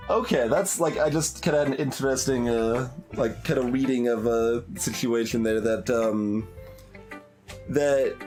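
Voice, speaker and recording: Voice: mid-range voice, measured pace, a little mellow, poor audio. A video game streamer giving casual playthrough commentary, musing and hesitant as they think aloud. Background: game music.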